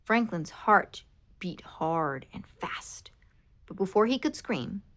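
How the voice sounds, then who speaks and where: A woman reading a children's picture book aloud in an expressive, animated way. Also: medium pitch, takes it slowly. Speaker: storybook reader. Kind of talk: children's read-aloud narration.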